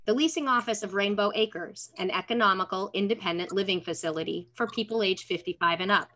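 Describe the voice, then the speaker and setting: Bright-voiced woman reading stage directions. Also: medium pitch, brisk. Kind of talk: scripted narration. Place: room at home. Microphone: computer on video call.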